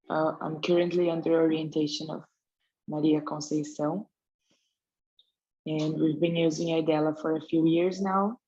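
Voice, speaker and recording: A woman with a very low, dark voice and flat delivery. The sound is dull and lo-fi over a video call. She speaks carefully, pausing on fillers. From São Paulo, Brazil. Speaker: researcher.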